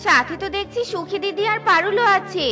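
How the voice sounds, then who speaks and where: A woman's voice: extremely high pitch, a little fast, over music. A woman voicing a fussing mother bird in a children's cartoon. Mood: anxious, calling. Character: fussing mother bird calling her daughter.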